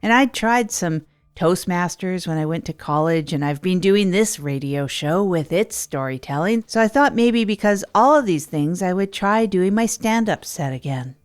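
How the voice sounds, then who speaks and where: A woman acting as an earnest, down-on-their-luck local radio host in a comedy audio drama. The delivery is deliberate and self-deprecating, with very animated pitch that wanders through rambling thoughts, over a light music bed. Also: natural voice. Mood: hopeful, rambling. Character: Tog Chesterfield, earnest, hapless radio host rebuilding their life. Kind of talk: improvised comedy audio drama monologue, late-night radio parody.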